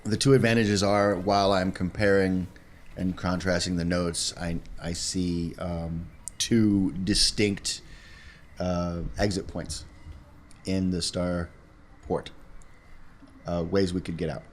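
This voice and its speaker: A man talking casually as a tabletop game player, thinking aloud in halting phrases. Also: deep voice, flat delivery, slight background noise.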